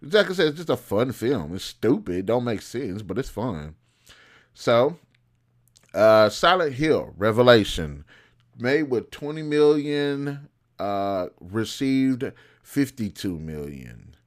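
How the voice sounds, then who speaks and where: A man's voice: mid-range voice, very animated, takes it slowly. An American man giving casual, unscripted commentary as a review host, speaking in an opinionated, colloquial, rambling way. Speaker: host of a video game movie review show.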